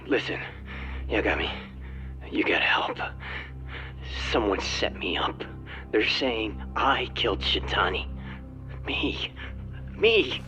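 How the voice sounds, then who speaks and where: Casting: panicked framed cop, sharp and forceful, pitch swinging widely, game drama with faint music. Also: male voice, lively intonation, slow, long pauses, heavily acted. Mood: urgent, alarmed. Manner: urgent, pleading. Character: desperate framed male police detective pleading for help. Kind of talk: English video game dub acting.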